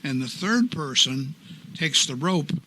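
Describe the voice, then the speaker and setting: A man's voice: a little high, animated, measured pace, bright, forceful tone, low-quality recording. An older man, a choral director, giving a genial radio studio interview and telling stories with a chuckle. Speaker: choral society artistic director, guest.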